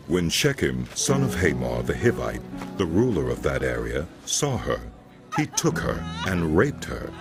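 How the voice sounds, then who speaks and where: Deep-voiced solemn male scripture narrator.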